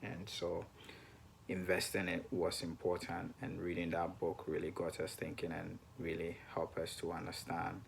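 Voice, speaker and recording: A man's voice: deep voice, completely flat delivery, moderate pace, vocal fry, slight background noise. A young man co-hosting a personal finance chat to camera, talking hesitantly with many ums and restarts. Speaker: co-host of a personal finance channel.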